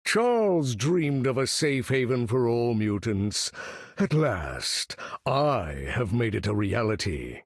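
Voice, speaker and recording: An aging, proud mutant master for a video game. The voice is gravelly and slow, with theatrical swells and formal, commanding diction. He sounds every bit the imperious leader. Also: medium pitch, sing-song, no room sound, clean studio sound, full character voice. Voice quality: gravelly, husky. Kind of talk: video game character voice acting.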